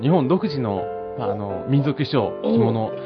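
A man's voice: mid-range voice, animated, unhurried, pitched below the performer's own voice, natural voice. Over-polite bartender played by a man, swooping intonation. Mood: earnest, amused. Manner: polite, hesitant, barely suppressing laughter. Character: over-polite bartender earnestly pitching absurd advice. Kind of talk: improvised comic radio skit. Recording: dull lo-fi web radio. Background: loud music.

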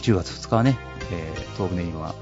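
A man's voice: low-pitched, fairly quick. A man hosting a radio show, chatting casually and reading out announcements. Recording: muffled recording with music behind.

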